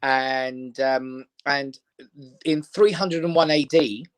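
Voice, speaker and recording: A man's voice: medium pitch, fairly even intonation, slow pace, clean tone. A British-born man, Armenian his first language, chatting upbeat with lots of fillers as a comedian guest. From Britain. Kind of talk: casual chat.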